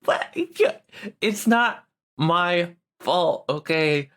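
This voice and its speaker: A tabletop player voicing an excitable young monster hunter, flustered and blustering, repeating protests. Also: mid-range voice, extremely slow. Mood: indignant.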